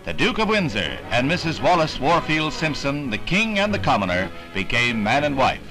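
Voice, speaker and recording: A man's voice: mid-range voice, sing-song, moderate pace, rough recording. An American man reading scripted 1960s newsreel narration in a formal, dramatic announcer's delivery. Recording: vintage newsreel soundtrack with music. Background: newsreel music.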